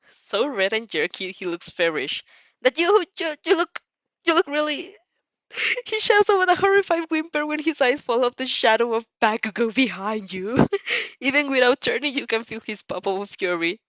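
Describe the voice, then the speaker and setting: Woman, fan fiction narrator, animated, giggly, stumbling delivery, slightly dull dry recording. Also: fairly high pitch, moderate pace, no echo. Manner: giggly, often stumbles over words. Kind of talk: expressive second-person story narration.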